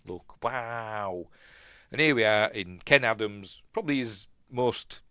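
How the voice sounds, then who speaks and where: Rambling Yorkshire man, low voice. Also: slow, clear, resonant tone, no echo, clean studio sound. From Yorkshire, England. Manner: rambling, musing, second-guesses himself, trails off quietly. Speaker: film review host. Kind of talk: casual watch-along film commentary.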